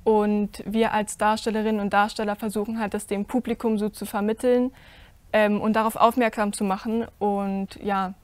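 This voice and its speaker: A teenage girl on a stage panel, answering earnestly as a cast member, with many pauses and fillers. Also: mid-range voice, flat delivery, smooth, no echo. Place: stage with curtain, indoors.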